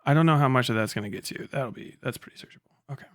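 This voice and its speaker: A man chatting casually as a livestream host, swearing freely in an excitable, exasperated manner into a close microphone. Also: mid-range voice, rapid-fire, dry recording.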